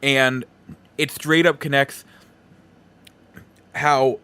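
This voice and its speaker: A man's voice: mid-range voice, little pitch movement, drawn-out words, pushed and bright, smooth, dry recording. A man hosting a podcast, talking through a casual, rambling review in a conversational way. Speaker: podcast host. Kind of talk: casual movie review monologue.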